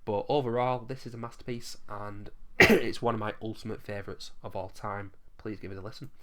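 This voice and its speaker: A man with a hoarse, fading voice, chatting enthusiastically through a countdown of his favourite albums and swearing casually now and then. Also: fairly low pitch, steady tone, a little fast, a bit bright. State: losing his voice, coughing. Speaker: music vlogger ranking albums.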